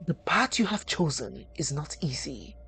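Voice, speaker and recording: A woman's voice: sits low, big pitch swings, measured pace, vocal fry, bright, forceful tone, faint music behind, pitched below the performer's own voice, full character voice. A woman voicing a stern, ancient ancestral spirit in a storybook tale, solemn and challenging. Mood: soft, solemn.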